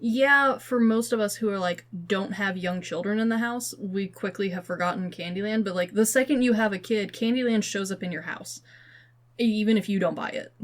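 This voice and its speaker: Animated young woman chatting casually. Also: mid-range voice, normal speed. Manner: animated, slangy, jokey exaggeration with frequent "like". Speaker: podcast co-host. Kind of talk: casual conversational podcast chat.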